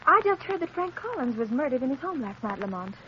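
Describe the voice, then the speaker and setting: A spirited, expressive lady companion. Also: high-pitched, fast. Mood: concerned. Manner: pert, expressive. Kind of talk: 1930s radio acting. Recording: muffled 1930s radio recording with hiss.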